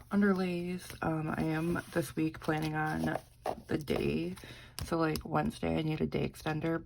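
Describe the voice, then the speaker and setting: A woman's voice: low voice, creaky, a little mellow, a little hiss or hum, poor audio. A young woman, sick and congested, chatting casually with lots of fillers while doing a planner vlog. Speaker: planner vlogger. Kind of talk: casual chat.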